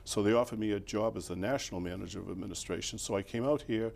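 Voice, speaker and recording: An older man with a Boston accent, a former mayor recalling his life in a relaxed, rambling interview with fillers and chuckles. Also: on the low side, fast talker, gravelly.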